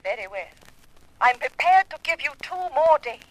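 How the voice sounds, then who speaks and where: A woman's voice: high-pitched, sing-song, normal speed, poor audio. A woman playing an imperious, coolly threatening client in a 1950s radio detective drama, formal and clipped. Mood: icy.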